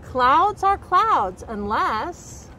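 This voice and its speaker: Very high-voiced woman reading to children. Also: drawn-out words. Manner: expressive, carefully enunciated picture-book delivery. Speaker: storybook reader and nature educator. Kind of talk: read-aloud storytelling for young children. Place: outdoors by a woodland creek. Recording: outdoor background noise.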